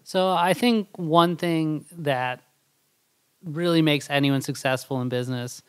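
A man's voice: fairly high pitch, unhurried, clean tone, no echo. A man answering podcast questions in a plain, matter-of-fact way. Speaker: kombucha company co-founder. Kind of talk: casual podcast interview.

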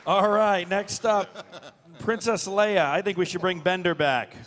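A man's voice: sits high, unhurried, fairly bright tone. A man hosting a convention panel, announcing casually from the stage. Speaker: panel host.

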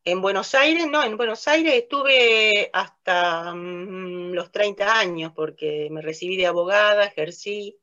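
A woman's voice: medium pitch, measured pace, sharp tone, highs cut off. An older woman from Italy, an interview guest who works as a theatre narrator and director, telling her story in a casual and hesitant way, full of fillers and restarts. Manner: hesitant, many fillers and restarts, laughs often. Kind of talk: casual interview, recounting memories. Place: small room with a plain light wall. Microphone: video call, webcam facing her.

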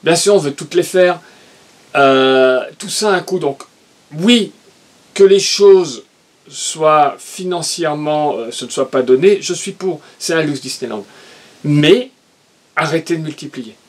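A middle-aged man co-hosting a casual chat, talking in an animated, opinionated way while gesturing. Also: a little high, a little slow. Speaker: co-host, father. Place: room with shelves of toys and merchandise.

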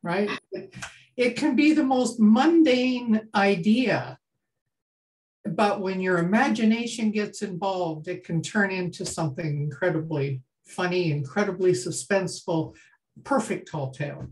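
A woman's voice: mid-range voice, measured pace, darkish tone, rough recording. Older woman leading a workshop. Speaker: workshop leader. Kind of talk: informal workshop talk on a video call. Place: small home room, indoors. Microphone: video call computer mic.

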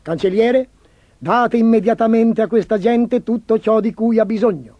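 A vain and excitable storybook emperor, pitched very high and husky. He is pompous and demanding, exclaiming his orders like someone used to instant obedience. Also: moderate pace, dry recording, dull audio. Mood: excited, commanding. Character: vain, imperious, excitable emperor obsessed with clothes. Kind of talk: theatrical children's storybook acting.

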